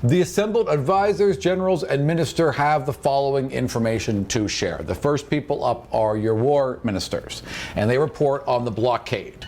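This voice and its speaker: A man's voice: mid-range voice, normal speed, darkish tone. A man narrating a tabletop fantasy game as its game master.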